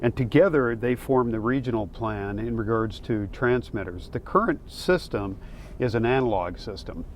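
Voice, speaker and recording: A man speaking as a fire official, explaining matters in a halting, matter-of-fact way during an interview. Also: medium pitch, lively intonation, slight background noise.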